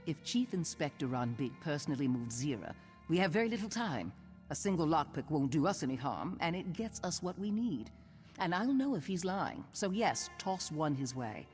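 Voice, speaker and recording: An actress playing a shrewd veteran thief in a video game, dry, brisk and confident. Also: female voice, sits low, big pitch swings, a little mellow, faint music behind. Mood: confident, urgent. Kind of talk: scripted video game dialogue.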